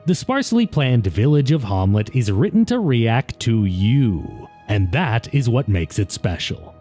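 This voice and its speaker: A man's voice: mid-range voice, very animated, unhurried, dark tone, slightly rough, soft background music. A man reading scripted documentary narration as the narrator of a video essay.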